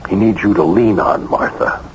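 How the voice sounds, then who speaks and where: An actor playing a reassuring, gently firm doctor and family friend in an old-time radio drama. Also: male voice, low-pitched, moderate pace, lightly acted. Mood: soothing, firm. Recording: muffled, noisy old radio recording.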